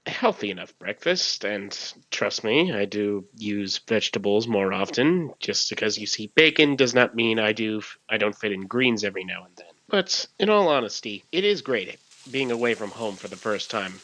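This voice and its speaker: A man's voice: on the low side, animated, normal speed, fairly bright tone, no echo, rough recording. A man from Los Angeles narrating his own documentary in a candid, casual way, with loose asides. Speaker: documentary director and interviewer. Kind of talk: reflective voice-over narration.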